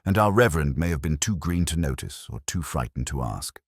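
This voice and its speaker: A quietly incisive police inspector, read by a male narrator in a mystery story. He is courteous, terse and probing, with a very low, rough-edged voice recorded close and dry. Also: expressive, brisk, no echo, full character voice.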